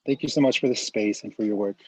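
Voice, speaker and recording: A man's voice: fairly low pitch, fast talker, darkish tone, breathy edge, no echo. Man from Alaska, dry, close sound. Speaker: book club member. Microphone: video call.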